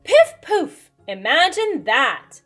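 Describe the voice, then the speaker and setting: A woman voicing a haughty, theatrical witch from a children's storybook, with drawn-out exclamations. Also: sits high, wildly swooping pitch, fairly bright tone, soft background music, voice pushed up, full character voice. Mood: gleeful. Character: haughty female witch, theatrical, pleased with her cauldron brew. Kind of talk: children's storybook character reading.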